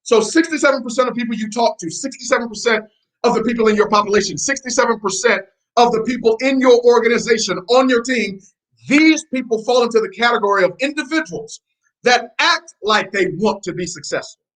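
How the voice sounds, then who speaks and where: Man, high voice, American-Nigerian accent, preachy, repeats points, quizzes listeners. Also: a little fast, a bit bright, low-quality recording. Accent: mix of American and Nigerian. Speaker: network marketing trainer. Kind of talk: motivational training talk.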